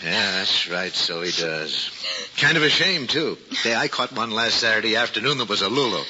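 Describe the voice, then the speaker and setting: Lively, placating henpecked husband. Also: male voice, on the low side, normal speed. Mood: cheerful, rambling. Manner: folksy, rambling, soothing. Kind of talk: old-time radio comedy acting. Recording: dull, noisy old radio recording.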